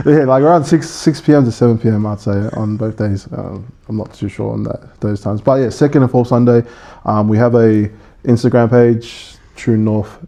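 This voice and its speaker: A man in his twenties from Samoa, a podcast guest chatting casually with many pauses and fillers. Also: lowish voice, steady tone, vocal fry, warm tone. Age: 20s. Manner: easygoing, laughs often, many ums.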